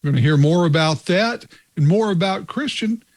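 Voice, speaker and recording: A man hosting a tech podcast, introducing his guest and asking questions in a measured, deliberate way. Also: sits high, expressive. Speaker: podcast host.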